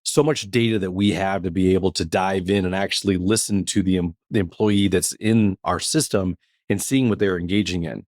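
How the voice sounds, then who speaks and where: An upbeat man hosts a podcast with a lowish voice, chatting casually and leaning on fillers. The sound is dry and close to the mic. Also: fairly even intonation, normal speed, darkish tone, no echo. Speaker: podcast host.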